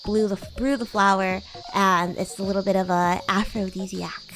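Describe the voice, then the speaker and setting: A woman's voice: mid-range voice, little pitch movement, normal speed, fairly bright tone, slight background noise, voice pushed down, natural voice. A woman voicing a bratty, sweet young demon girl in casual roleplay chat, with hesitant, girlish delivery. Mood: chatty, explaining. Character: bratty, sweet young female demon classmate from another world. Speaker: VTuber streamer.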